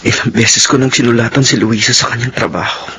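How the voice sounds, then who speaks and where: A man's voice: mid-range voice, steady tone, fairly quick, loud music behind the voice, natural voice. A man acting a remorseful imprisoned husband, sorrowfully soliloquizing in a radio drama.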